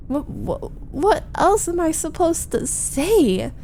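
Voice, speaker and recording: A frustrated, needy young woman played by a voice actress vents to her roommate in an ASMR roleplay. Her voice is fairly high and soft-edged, and she complains slowly in halting, jealous, dramatic bursts over a faint low rumble. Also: very animated, exaggerated voice. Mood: deflated, frustrated. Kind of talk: ASMR roleplay, intimate first-person monologue.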